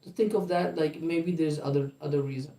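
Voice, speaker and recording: A man's voice: a little high, steady tone, fairly quick, vocal fry, mellow. A man co-hosting a livestream, earnestly reasoning aloud and checking in with "right?" and "why?". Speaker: vlog co-host on a livestream. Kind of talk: casual livestream chat. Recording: roomy room sound.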